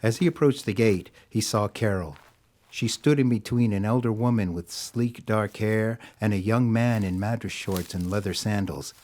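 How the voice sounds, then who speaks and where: Low-voiced man narrating an audio drama. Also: a little mellow. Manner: measured literary storytelling.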